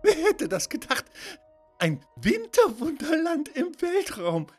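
A man voicing an excitable, giggly little girl astronaut full of wonder in a children's audio story, with a squeaky voice. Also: takes it slowly, soft background music, full character voice. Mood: amazed. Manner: giggly, exclaiming.

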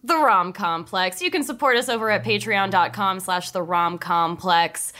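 A woman with a bright, forceful voice at a middle pitch co-hosts a podcast. She chats casually and informally, joking along as she goes. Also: moderate pace. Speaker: podcast co-host. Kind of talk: casual banter.

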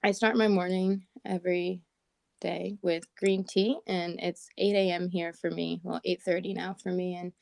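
A woman with a raspy morning voice chatting casually as a podcast co-host, talking with lots of "like" and "um". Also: lowish voice, level tone, moderate pace, dry recording. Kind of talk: casual chat. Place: at home in Portland.

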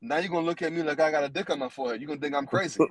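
A man in his thirties talks in a casual podcast chat, blunt and animated, with plenty of cursing. His voice sits on the higher side for a man. The sound is dry and close to the mic. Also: rapid-fire, dry recording. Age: 30s. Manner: animated, blunt, profane storytelling. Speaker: podcast co-host.

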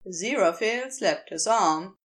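A woman reading scripted fiction as a narrator, in an expressive storytelling style. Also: mid-range voice, moderate pace. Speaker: podfic narrator.

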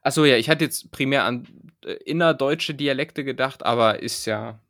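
A young man hosting a podcast, asking questions in a relaxed, wry way with lots of fillers. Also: mid-range voice, moderate pace. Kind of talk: casual banter.

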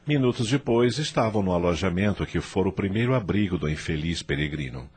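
Low raspy guy narrating a radio play, dramatic scripted read. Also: lively intonation, brisk, darkish tone.

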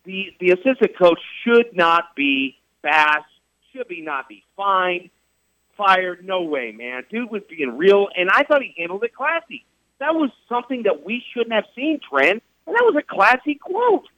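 A man with a high, animated voice argues his point on sports radio, repeating himself and hammering rhetorical questions. His voice comes through dry and slightly dull, like a studio feed. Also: a little slow, dry recording. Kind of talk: heated sports radio talk.

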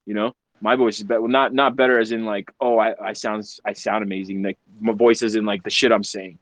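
A man's voice: on the low side, level tone, fairly quick, dry recording. A young man hosting a casual podcast chat, rambling and swearing, often stumbling and repeating words. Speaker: podcast host. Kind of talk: casual group chat on a video call.

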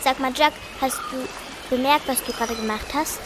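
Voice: high voice, normal speed, background noise, lightly acted. A child playing a knowing, bossy young explorer in a school radio play, in a chiding, explanatory manner. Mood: excited.

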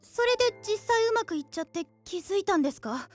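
An actress in a radio drama playing a mild, girlish young woman, polite and gentle in manner. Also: extremely high pitch, lively intonation, quick pace, faint music behind. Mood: mild, curious. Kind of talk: Japanese radio drama acting.

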